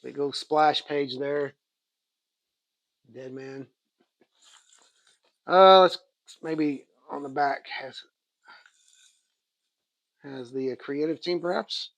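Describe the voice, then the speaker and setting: A man's voice: on the high side, extremely slow, creaky, pauses often, no room sound. A man with an Oklahoma accent, rambling and thinking aloud as he casually shows off and reviews what he got. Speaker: comics channel host. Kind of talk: casual show-and-tell haul review.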